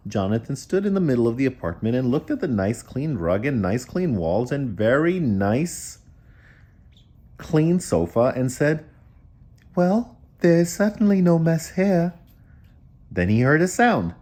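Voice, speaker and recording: Deep female voice, mellow tone, expressive storybook reading, casual incredulous asides. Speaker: storybook reader. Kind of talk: read-aloud children's story with casual asides.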